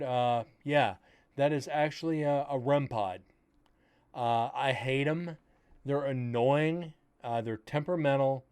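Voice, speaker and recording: A man hosting a paranormal show with a loud, carrying voice. He comments bluntly on old recordings in a casual, opinionated way. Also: medium pitch, clean tone. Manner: blunt and opinionated, explains gear plainly. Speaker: paranormal investigator host.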